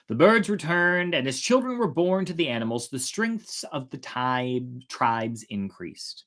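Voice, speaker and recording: A storyteller narrating a folk tale in a theatrical way, with drawn-out, emphatic words. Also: medium pitch. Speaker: storyteller, host of a storytime show.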